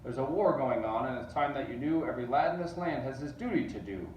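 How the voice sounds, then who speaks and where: A man's voice: medium pitch, animated, fast talker, a little hiss or hum, close to an everyday voice. A man voicing a big, pompous, bellowing army general in a children's storybook read-aloud. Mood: commanding, urgent. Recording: roomy, distant, dull sound.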